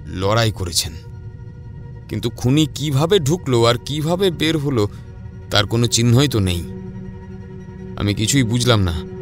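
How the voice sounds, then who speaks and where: An actor playing a perplexed police sub-inspector in a horror audio story, deliberate and questioning. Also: male voice, medium pitch, lightly acted. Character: baffled male police sub-inspector surveying a crime scene.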